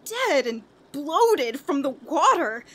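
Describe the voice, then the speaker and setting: An actress playing a frantic, haunted woman on the edge of madness in a horror radio play, halting and overwrought. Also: sits high, big pitch swings, a bit bright, exaggerated voice. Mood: horrified, brooding. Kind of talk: 1940s radio horror acting, dramatic monologue.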